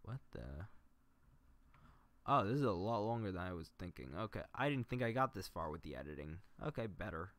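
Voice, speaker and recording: A man's voice: low voice, very animated, brisk, a bit bright, no echo. A man talking casually on a livestream, explaining his editing as he goes and muttering to himself between explanations. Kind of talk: casual livestream tutorial, thinking aloud while editing.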